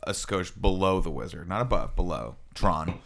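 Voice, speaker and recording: A man's voice: sits low, animated, normal speed, vocal fry, fairly bright tone. A man co-hosting a podcast, talking casually in a group conversation. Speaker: podcast co-host.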